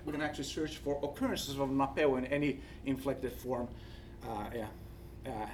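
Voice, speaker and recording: Halting Finnish-accented man presenting, slightly distant. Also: mid-range voice. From Finland. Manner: halting, searching for words. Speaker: academic researcher presenting. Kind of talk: conference presentation with slides. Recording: distant mic, faint background noise.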